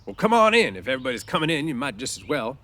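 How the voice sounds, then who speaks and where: A man's voice: mid-range voice, big pitch swings, very fast, pushed and bright, a little hiss or hum, voice pushed up. A man voicing a lonely, bitter, scornful stable hand in a storybook, with folksy, ungrammatical ranch speech. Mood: gruff.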